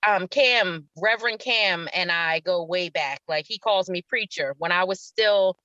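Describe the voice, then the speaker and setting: A woman's voice: lowish voice, measured pace, sharp tone, dry recording, muffled recording. A middle-aged woman telling stories casually as an interview guest, effusive and repeating words for emphasis. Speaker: stage actress, interview guest.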